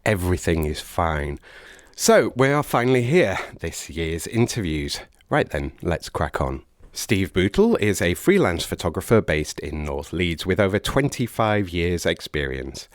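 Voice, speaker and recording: A man with a hoarse voice from a cold reading a podcast episode introduction with wry, dry humor. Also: low-pitched, big pitch swings, measured pace, dry recording. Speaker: podcast host.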